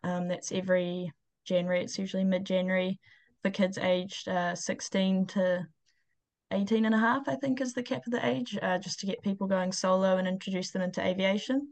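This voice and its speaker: A woman's voice: mid-range voice, steady tone, brisk, darkish tone. A woman with a New Zealand accent, speaking informally and a little hesitantly as a guest in a casual interview. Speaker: interview guest, aircraft technician and pilot.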